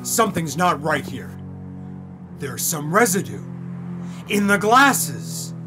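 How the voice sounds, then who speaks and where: A man's voice: fairly high pitch, very animated, slow pace, over music. A man playing a suave, cocky CIA agent in an audio drama. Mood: dizzy, panicked. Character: suave, cocky male CIA agent romancing his mistress.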